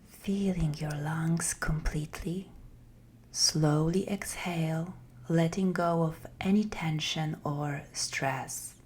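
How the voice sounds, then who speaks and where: A woman guiding a meditation in a soft, breathy, half-whispered voice, gentle and soothing with long pauses. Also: deep voice, steady tone. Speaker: meditation guide. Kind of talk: guided meditation, slow scripted narration.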